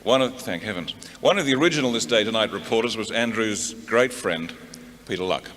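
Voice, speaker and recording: A man's voice: on the low side, lively intonation, a little fast, background noise. An Australian man giving a prepared speech as he introduces tributes at a memorial. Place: large hall, memorial gathering. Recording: reverberant hall.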